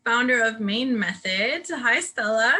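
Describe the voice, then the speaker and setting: A woman's voice: on the high side, a little slow, pushed and bright. A woman hosting an interview show, talking directly and upbeat to her viewers. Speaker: interview host.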